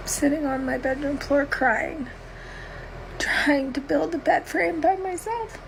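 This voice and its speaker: A woman with a high voice sounds tearful as she confides in a sad vlog. There is clear noise behind her. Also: slow.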